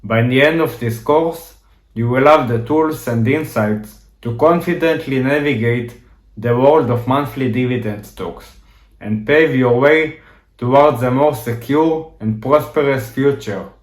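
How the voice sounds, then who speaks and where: A man in his thirties from Israel with an Israeli accent, reading a scripted introduction to his course in a steady, measured way. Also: mid-range voice, roomy sound. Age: 30s. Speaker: course instructor.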